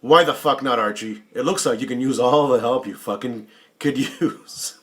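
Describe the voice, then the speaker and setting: A man's voice: medium pitch, moderate pace, fairly bright tone. A man in his late forties answering another vlogger's questions in a casual, blunt, heavily profane and taunting way, often repeating himself for emphasis. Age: 40s. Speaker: YouTube watch channel host.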